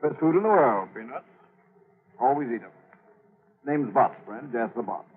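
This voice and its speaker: A man's voice: medium pitch, expressive, moderate pace, slightly rough. An actor playing a chatty, pushy travelling salesman, a jolly folksy pest who talks in clipped phrases and repeats himself, in 1940s radio style. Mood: chummy, pushy. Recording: thin, phone-like 1940s radio recording.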